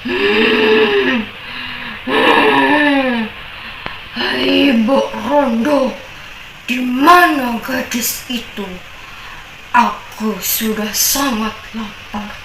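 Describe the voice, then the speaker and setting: A woman voicing a greedy, furious male giant who hums and growls before shouting threats. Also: high-pitched, animated, drawn-out words, creaky, pauses often, roomy sound, background noise, heavily acted. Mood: hungry, menacing.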